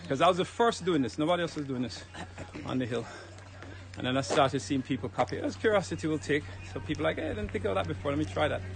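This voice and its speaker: Brief: Jamaican man, slightly high voice, relaxed chatty fitness livestreamer, outdoors on a phone, a little winded. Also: quick pace, creaky. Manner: chatty, relaxed, talks to viewers while walking uphill. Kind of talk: casual livestream chat while walking. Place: outdoors on a hill road. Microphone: phone held while walking.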